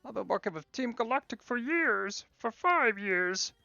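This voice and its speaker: A man doing a squeaky whiny villain underling. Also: lively intonation, unhurried, gravelly, sharp tone, dry recording, highs cut off, soft background music, exaggerated voice. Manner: squeaky, complaining. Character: whiny disgruntled male villain-gang underling.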